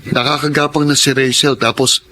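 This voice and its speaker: A man's voice: medium pitch, level tone, brisk, sharp tone, a little hiss or hum, lightly acted. An actor playing a devoted, stubborn young working-class father in a Filipino radio drama. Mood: cheerful, earnest.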